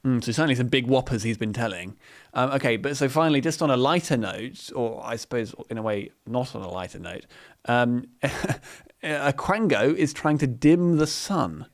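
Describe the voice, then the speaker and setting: A man's voice: medium pitch, animated, moderate pace. A man hosting a podcast interview, asking questions in a wry, conversational way. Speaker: podcast host.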